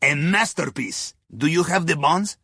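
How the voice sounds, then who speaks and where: A wry, jovial Russian submarine captain in a video game. His voice is fairly high for a man and husky, with a lively melody. He briefs his crew in clipped broken English with dry humour and a Russian accent. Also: measured pace, dry recording, exaggerated voice. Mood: wry, calm. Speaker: mission guide voice in a video game. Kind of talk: scripted video game radio dialogue.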